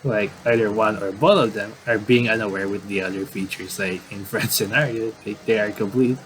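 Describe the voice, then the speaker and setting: A man doing casual game commentary and reading aloud, in a halting, rambling way with repeated words. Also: on the low side, steady tone, background noise.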